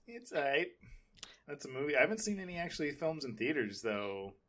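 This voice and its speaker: A man's voice: a little high, very animated, fairly quick, a bit bright. A man hosting a podcast, chatting casually about film and TV in a rambling, hesitant way. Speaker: podcast host.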